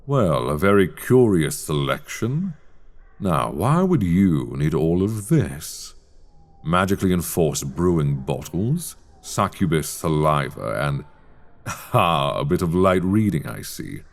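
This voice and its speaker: A man playing a middle-aged, menacing headmaster of a magic school in a dark fantasy audio roleplay, haughty, mocking and theatrically polite. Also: low-pitched, sing-song, slow pace, vocal fry, heavily acted. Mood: amused, probing. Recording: echoey hall with long reverb.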